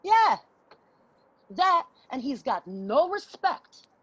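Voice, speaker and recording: An actress playing a tough, defensive homeless friend with an American accent, husky and blunt, in a stage play reading with slightly muffled sound. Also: female voice, sits high, very animated, takes it slowly, fairly bright tone, heavily acted. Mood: grudging. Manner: blunt, punchy street talk.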